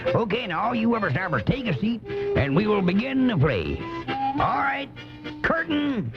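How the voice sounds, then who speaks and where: A jolly old cowboy children's host for radio comedy. The voice is pitched high and hurries along in folksy patter. It sounds muffled, like an old cassette taped off the air. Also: male voice, wildly swooping pitch, normal speed, creaky, loud music behind the voice, heavily acted. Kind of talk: parody children's radio show hosting.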